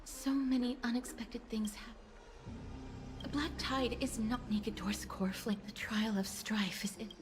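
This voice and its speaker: Gentle, high-voiced young noblewoman played by a woman. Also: steady tone, unhurried, close to an everyday voice. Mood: soft, pensive. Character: gentle, polite young noblewoman, reserved and caring. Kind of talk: video game cutscene acting. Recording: background music under the voice.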